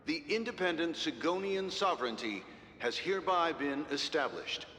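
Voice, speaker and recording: A man's voice: expressive, moderate pace, sharp tone. A man playing a formal announcer who relays galactic political news in a video game. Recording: reverberant, faint rumbling noise.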